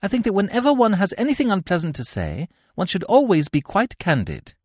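Brief: young upper-class girl, sweet and naive, very high and sing-song, as a male reader puts her on. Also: huge pitch swings, brisk, husky, no echo, clean studio sound, full character voice. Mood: prim. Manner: girlish, prim, eager to please.

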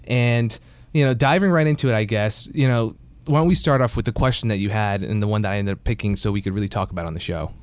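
Low-voiced male podcast host, chatty. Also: fast. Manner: conversational, many fillers like "you know" and "uh". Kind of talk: casual investing podcast chat.

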